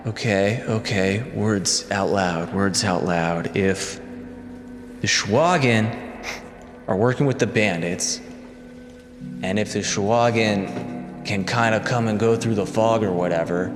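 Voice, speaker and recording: A man's voice: on the low side, slow pace, pauses often, long reverb tail, over music. A man talking casually as a player in a tabletop role-playing game, slipping between narrating and speaking in character.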